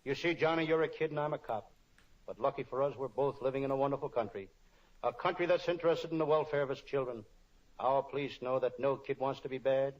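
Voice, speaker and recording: Guy doing a wisecracking softhearted private eye, medium pitch, old radio show, kinda muffled. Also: steady tone, quick pace, lightly acted. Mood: sincere, reassuring. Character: wisecracking, kind-hearted male private detective. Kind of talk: old-time radio detective spoof, narration and dialogue. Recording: dull lo-fi old radio recording.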